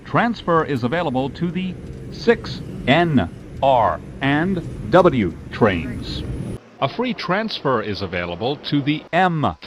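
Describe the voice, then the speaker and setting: A man reading recorded subway announcements in a slow, measured, evenly spaced way. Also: mid-range voice, very animated, background noise. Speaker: transit announcer. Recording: slightly muffled, faint ambience.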